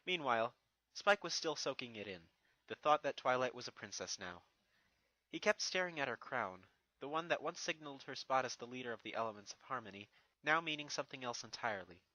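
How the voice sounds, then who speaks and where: A narrator reading a scripted fan fiction story in an expressive storytelling manner. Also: medium pitch, brisk, no room sound, rough recording.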